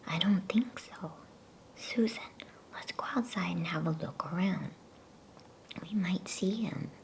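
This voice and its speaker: A woman voicing a concerned, gentle girl, an older sister, in a hushed, questioning whisper for a storybook. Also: on the low side, measured pace, gravelly, a little hiss or hum. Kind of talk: whispered ASMR storybook reading.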